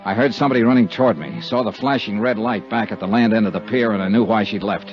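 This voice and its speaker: A man's voice: fairly low pitch, quick pace, close to an everyday voice. An American actor playing a tough, world-weary insurance investigator in a 1950s radio drama, telling his story in terse, clipped phrases. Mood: grim. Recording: dull 1950s radio recording with music.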